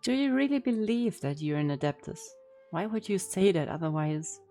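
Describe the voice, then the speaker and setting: A woman's voice: medium pitch, animated, normal speed, mellow, faint music behind. A woman gaming streamer casually reacting to a game and reading dialogue choices aloud.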